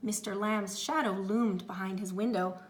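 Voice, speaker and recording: A woman reading a children's storybook aloud with expressive, theatrical phrasing and drawn-out words. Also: mid-range voice. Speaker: storybook reader.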